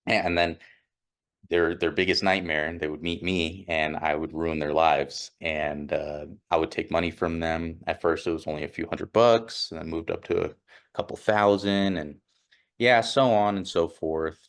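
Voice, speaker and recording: A man's voice: sits low, steady tone, moderate pace. A young man telling his own story casually, in an offhand, rambling way, with many fillers and restarts and a slightly nerdy-sounding voice. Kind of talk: casual first-person storytelling.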